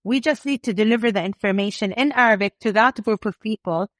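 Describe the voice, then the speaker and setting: A woman in middle age who grew up in the UK, speaking as an interview guest. She is confident and animated, with a mid-range voice recorded close and dry. She builds her points with vivid examples. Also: medium pitch, fairly quick, dry recording. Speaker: company co-founder, guest. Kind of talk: casual interview talk.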